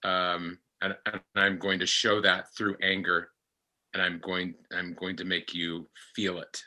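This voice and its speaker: A man speaking in a halting, deliberate way as an actor in a casual panel discussion. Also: low voice, flat delivery, bright, forceful tone. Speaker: podcast actor explaining his character.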